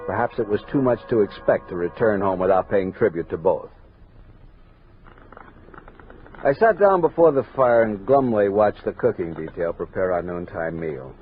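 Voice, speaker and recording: A man performs a commanding American army captain telling his journal in 1960s radio drama style. The delivery is literary and grave, with a very mobile pitch. It is a band-limited old studio recording with prominent music. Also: expressive, phone-quality sound, natural voice. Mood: sombre, brooding. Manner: measured, literary storytelling with crisp orders.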